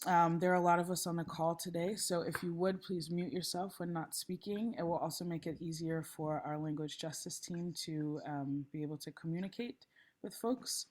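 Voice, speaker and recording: A woman facilitating an online meeting, a little hoarse, friendly and chatty with frequent ums, speaking through a laptop mic on a video call. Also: fairly low pitch, fairly even intonation, normal speed, a little mellow. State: slightly hoarse. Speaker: session facilitator. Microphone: laptop mic, then headphones.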